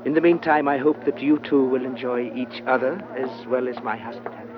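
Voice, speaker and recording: Actor as unctuous, mocking host, muffled old radio. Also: male voice, mid-range voice, flat delivery, brisk, noisy background, natural voice. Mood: genial, unctuous. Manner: formal, unctuous, florid phrasing. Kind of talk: old-time radio drama acting.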